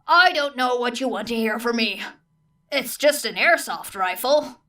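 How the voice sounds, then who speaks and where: Casting: put-upon male shop teacher read by a woman, blunt, defensive, raised pitch, audiobook story. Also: lively intonation, unhurried, pushed and bright, far from the mic. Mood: harried, defensive. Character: harried, defensive adult male workshop teacher.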